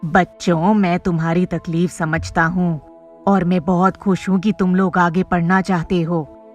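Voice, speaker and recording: A woman voicing a sympathetic but helpless village headman in a measured, apologetic manner in a cartoon story. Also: on the low side, little pitch movement, soft background music, voice pushed down. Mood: apologetic, reassuring.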